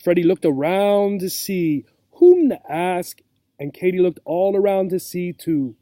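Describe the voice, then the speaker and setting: Male teacher for children's story reading: mellow, slightly high, expressive, guiding young English learners. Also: unhurried, no room sound. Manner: checks understanding with questions.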